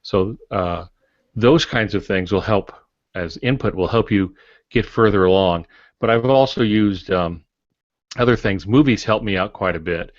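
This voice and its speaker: A middle-aged man with a low voice talks casually on a writing podcast. He explains things in a measured way with dry humor, and the recording is a little dull. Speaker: podcast panelist, fiction writer. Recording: dull audio in echoey room.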